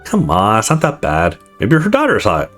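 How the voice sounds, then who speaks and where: Easygoing, teasing young male lead voiced by a streamer for a visual novel, expressive pitch, faint music. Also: normal speed. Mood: teasing, reassuring. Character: calm, teasing, reassuring young man, protagonist.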